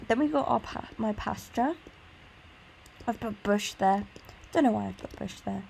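A woman's voice: mid-range voice, creaky, a little mellow, slight background noise, poor audio. A tired woman with a congested sore throat gives an informal, meandering tour commentary on a video game. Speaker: gaming video host. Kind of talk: casual gameplay tour commentary.

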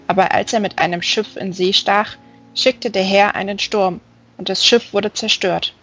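A woman voicing a gentle, learned male fallen angel in an audiobook, earnestly explaining scripture. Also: medium pitch, fairly even intonation, moderate pace, breathy edge, dull audio.

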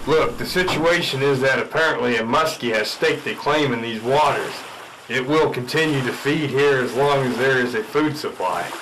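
Over clear background noise, a man plays an earnest young fish expert in a spoof radio drama. He is blunt and insistent, explaining things in a mid-range voice. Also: mid-range voice, normal speed, close to an everyday voice. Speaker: amateur radio drama actor. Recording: noisy track with background ambience.

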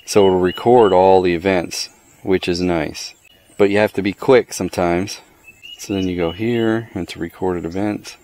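A man with a low voice talks through a gadget review outdoors. He is plainspoken and matter-of-fact, naming things in short, clipped phrases in a casual way. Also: fairly even intonation, moderate pace, mellow, a little hiss or hum. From the Americas. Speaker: product reviewer. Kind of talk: casual hands-on app walkthrough. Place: outdoors under a canopy in a wooded yard.

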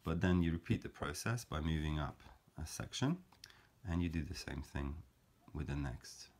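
A man calmly explaining a paper craft step by step, in a gentle, measured way with frequent fillers. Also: a bass voice. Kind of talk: calm step-by-step craft tutorial.

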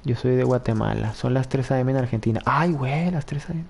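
A man's voice: a little fast, gravelly, darkish tone, a little hiss or hum. A young Mexican man with a Mexican Spanish accent, streaming a game live and chatting playfully as he reads comments. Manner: playful, chatty, laughs often, jumps into high squeals. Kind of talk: live-stream gameplay chat reading comments.

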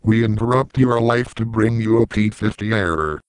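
A low, dry synthetic man's voice as a sentient computer villain in a comedy skit. It delivers taunting threats in a clean, deadpan robotic way. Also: normal speed, smooth, no echo, natural voice, poor audio. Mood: menacing. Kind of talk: scripted homemade comedy skit.

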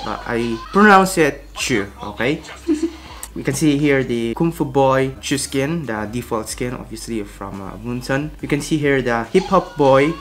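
A man's voice: medium pitch, fairly bright tone. A young man from the Philippines, hosting a casual gaming vlog from his room and walking viewers through a giveaway. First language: Tagalog. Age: young adult. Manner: chatty, repeats himself, gestures with his hands while explaining. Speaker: gaming channel host. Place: small room indoors. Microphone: webcam at desk.

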